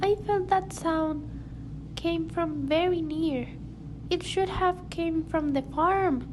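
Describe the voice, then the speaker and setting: A woman playing a frightened farmer lady in an amateur radio drama, speaking slowly and deliberately. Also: extremely high pitch. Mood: scared, worried. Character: worried farmer lady frightened for her killed goats. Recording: noisy amateur home recording.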